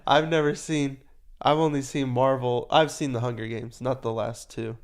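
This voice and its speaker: A man's voice: medium pitch, fairly even intonation, moderate pace. A young man co-hosting a podcast in casual chat, sounding nasal from a cold, with a teasing, playful way of talking. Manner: teasing, playful, drifts off into asides. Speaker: podcast co-host.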